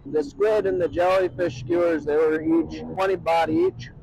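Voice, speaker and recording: A middle-aged man with a slightly high voice talks casually to his camera as a food vlogger. He is plain-spoken and enthusiastic, recorded outdoors on a city street with some traffic noise around him. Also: moderate pace. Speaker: food vlog host. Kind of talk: casual vlog commentary while tasting food. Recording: clear street noise with passing vehicles.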